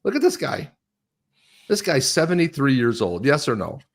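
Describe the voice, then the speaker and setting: A man's voice: medium pitch, sing-song, normal speed. A man of about sixty talking politics in a casual, joking way as co-host of a live show, often breaking into laughs. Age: 60s.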